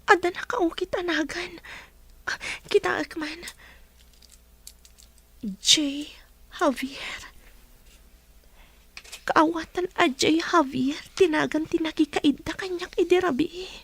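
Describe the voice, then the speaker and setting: A woman's voice: extremely high pitch, slow, pauses often, a bit bright, exaggerated voice. A woman playing a bewildered, distressed young woman in a radio drama.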